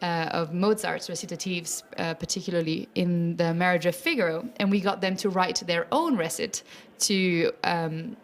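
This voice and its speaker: A woman with a Swiss French accent, native French speaker, talks eagerly and warmly. She is a mezzo-soprano describing her work, and she laughs often as she speaks. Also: lowish voice, moderate pace, hardly any pauses. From French-speaking Switzerland. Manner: enthusiastic, warm, laughs often. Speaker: mezzo-soprano and children's opera workshop leader. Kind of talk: festival interview.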